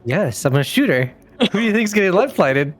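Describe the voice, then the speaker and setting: A man's voice: high voice, big pitch swings, a little fast, a little hiss or hum. A man chatting casually as a player in a tabletop game, informal and slangy in how he talks.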